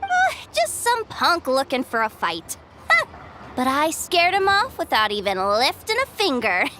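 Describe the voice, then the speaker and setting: An actress in a video game playing an excitable, cheeky young woman who works as an event official, full of slangy bravado. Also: high-pitched, a little slow, heavy background noise, full character voice. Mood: relieved, chatty. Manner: slangy tough-talk bravado.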